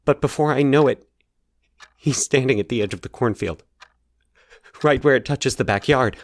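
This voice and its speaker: A man voicing an anxious, halting man confessing a recurring nightmare in a horror audio story. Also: mid-range voice, dry recording, voice pushed up, close to an everyday voice. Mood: frightened, pleading. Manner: nervous, halting, stumbling over his words. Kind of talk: dramatized horror audio fiction.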